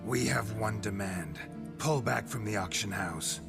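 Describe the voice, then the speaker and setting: A man playing a stoic, sardonic monster hunter in a fantasy video game, gravelly, terse and deadpan. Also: lowish voice, a little slow. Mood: coolly threatening. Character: stoic, sardonic male monster hunter, hard-bitten mercenary. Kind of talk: cinematic game dialogue. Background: music.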